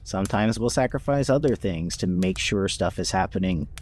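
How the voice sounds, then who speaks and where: A man's voice: sits low, expressive, normal speed, a little mellow, a little hiss or hum. A man who voice-acts for a podcast, chatting casually in an earnest, appreciative manner. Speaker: podcast voice actor. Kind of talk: casual chat.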